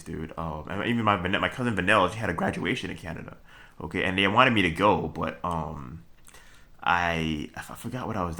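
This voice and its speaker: A young man from Brooklyn talks straight to his viewers. His voice sits very low but has a bright, forceful edge. He rambles, stops and restarts, and keeps checking in with "okay?" as he chuckles between points. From Brooklyn, New York. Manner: halting, many fillers and restarts, addresses viewers with "okay?". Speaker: vlogger. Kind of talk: rambling solo monologue.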